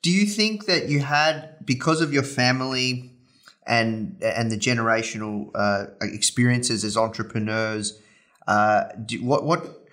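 A man's voice: medium pitch, fairly even intonation, fairly bright tone. A man hosting a podcast, asking interview questions and often restarting them midway. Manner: halting, restarting his questions. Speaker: podcast host.

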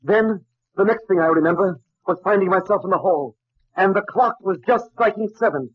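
A man's voice: high voice, normal speed, breathy edge, no echo. An actor playing a driven young male scientist in an old radio drama, intense and melodramatic. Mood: desperate. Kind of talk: 1940s radio acting. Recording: muffled, dry old radio recording.

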